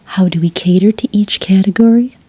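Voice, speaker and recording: A woman playing a friendly, obliging witch desk clerk in a soft-spoken ASMR roleplay, whispering breathily in polite, hesitant customer-service patter. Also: medium pitch, fairly quick, breathy edge, no echo, close to an everyday voice, low-quality recording. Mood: patiently explaining.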